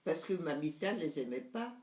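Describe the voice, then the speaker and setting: A woman's voice: low voice, very animated, normal speed, darkish tone, reverberant. A woman in her nineties, recounting family memories to her son in casual conversation, hesitant and searching for words. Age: 90s. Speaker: mother recalling family memories.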